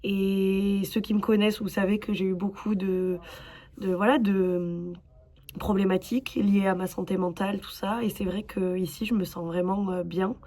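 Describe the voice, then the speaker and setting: A woman's voice: mid-range voice, fairly even intonation, moderate pace, dark tone. A woman from southwest France talking candidly and informally in a casual personal vlog. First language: French. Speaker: vlogger.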